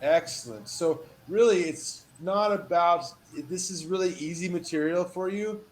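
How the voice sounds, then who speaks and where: A man teaching a one-on-one English lesson over a video call, patient and encouraging, reading model phrases with lively emphasis. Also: high-pitched, measured pace, slight background noise. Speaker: English tutor.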